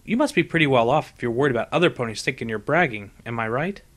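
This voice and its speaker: Guy doing a brash young girl pegasus pony, cocky n excitable, audiobook character. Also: medium pitch, fast talker. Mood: cocky, casual. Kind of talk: fan fiction audiobook character voice.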